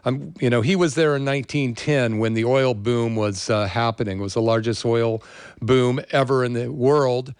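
An older American man talks as a show host in a conversational, meandering way, drifting into personal stories. His voice is smooth and clear at a middling pitch, recorded dry with very little room sound. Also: fairly even intonation, moderate pace, a little mellow, no echo. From California, USA. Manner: rambling, conversational. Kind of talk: casual monologue with personal storytelling.

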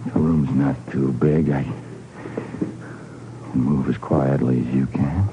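An actor playing a menacing, taunting villain in a 1950s radio drama. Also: male voice, a bass voice, fairly even intonation, slow, highs cut off. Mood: stalking threat. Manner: taunting, threatening. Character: menacing villain, a brutal man hunting in the dark. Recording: noisy, clicking old radio recording.

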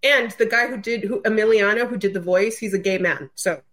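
A female podcast guest with a voice of average pitch. She chats casually and colloquially, stressing her points emphatically and checking that her listener is keeping up. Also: fairly quick, a bit bright. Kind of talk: casual chat.

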